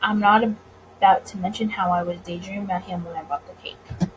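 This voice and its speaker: A woman's voice: mid-range voice, fairly even intonation, fairly quick, a little hiss or hum, poor audio. A woman reading a visual novel aloud with casual commentary, stumbling over words now and then. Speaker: let's play host.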